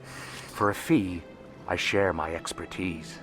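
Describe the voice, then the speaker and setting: An actor playing a shrewd, discreet alien financial broker in a video game, speaking formally and courteously in measured phrases. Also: male voice, low voice, fairly even intonation, fairly bright tone. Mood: matter-of-fact. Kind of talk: video game character dialogue. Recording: music playing behind the voice.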